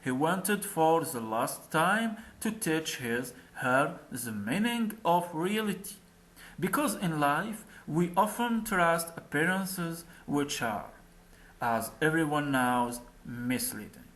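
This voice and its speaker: Slow, expressive folk tale storyteller. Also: medium pitch, rough recording. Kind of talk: scripted narration of a folk tale.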